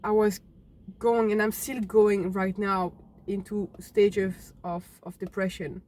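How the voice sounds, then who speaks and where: A young woman from Belgium, telling her own story unscripted to camera in a halting, earnest way, often restarting words. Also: mid-range voice, little pitch movement, darkish tone. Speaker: fitness vlogger.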